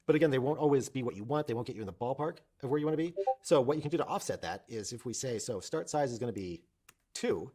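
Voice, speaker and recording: A man instructing in a casual screen-share tutorial, thinking aloud and explaining informally, often restating points to make them clear. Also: mid-range voice, animated, fast, dark tone. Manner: informal, explanatory, repeats himself to clarify.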